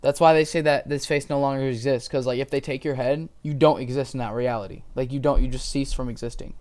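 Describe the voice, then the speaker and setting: A man giving casual, slangy reaction commentary, halting and repeating himself as he goes. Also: medium pitch, fairly even intonation, a little mellow. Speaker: reaction video host. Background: music.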